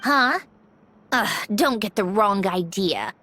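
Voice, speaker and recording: A snooty prickly robot genius girl for a game, talks slow and imperious, really up-and-down. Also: medium pitch, expressive, bright, forceful tone, no room sound, full character voice. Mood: haughty, dismissive. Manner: imperious, sardonic, sighing. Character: haughty, prickly female mechanical genius, young-sounding. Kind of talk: video game character acting. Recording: music playing behind the voice.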